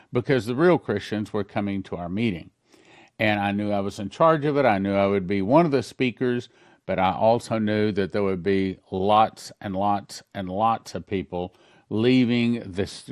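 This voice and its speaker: Older man preaching, low dry voice. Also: no echo. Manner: earnest storytelling, reads letters aloud quickly. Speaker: pastor. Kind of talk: sermon recounting dreams.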